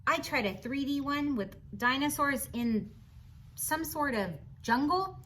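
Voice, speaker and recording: A woman reading a picture book aloud to children in an expressive, encouraging, teacherly way, adding chatty asides and questions for the listener. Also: high voice, a little slow, slight background noise. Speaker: storybook reader for children.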